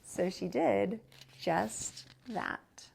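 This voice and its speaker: A woman reading a children's picture book aloud as a storytime host, with expressive, drawn-out emphasis. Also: medium pitch, creaky, slightly rough.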